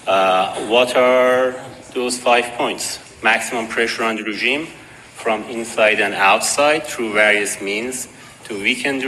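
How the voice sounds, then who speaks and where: A man with a Persian accent giving a conference presentation, measured and dotted with hesitations. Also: lowish voice, sharp tone. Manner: measured, with frequent uh fillers and restarts. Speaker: conference speaker. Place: conference hall. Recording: long hall reverb, faint noise.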